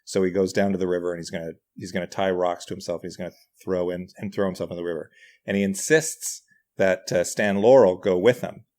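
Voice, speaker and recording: A middle-aged man with a deep voice speaks as a guest in a relaxed conversation. He is self-deprecating and hesitant, often circling back as he tells a personal story. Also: fast talker. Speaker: podcast guest, writer and comedian. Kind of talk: casual conversational anecdotes.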